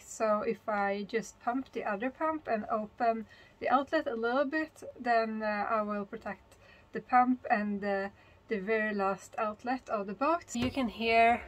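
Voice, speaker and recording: Female vlogger, 30s, slightly high voice, casual and enthusiastic explainer. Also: rough recording. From Sweden. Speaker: sailing vlogger. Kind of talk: casual explanatory chat to camera. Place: small sailboat, in cabin and covered cockpit, and outdoors at a marina.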